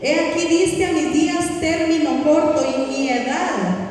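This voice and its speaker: A woman pastor preaching a sermon, drawing out her Bible readings slowly, recorded in a church hall from some distance. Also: sits high, darkish tone. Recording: echoey hall, voice from far off.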